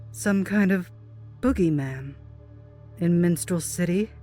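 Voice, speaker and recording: A woman playing an older female scientist with slow, measured speech. She is composed, polite and evasive, a retired heroine in an audio drama with faint music. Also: fairly low pitch, lively intonation, dark tone.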